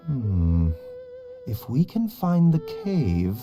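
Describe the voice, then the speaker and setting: An actor playing a composed, courteous doctor in a video game, with refined, measured manners and gentle authority. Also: male voice, medium pitch, big pitch swings, music in the background. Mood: thoughtful. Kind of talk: video game character acting.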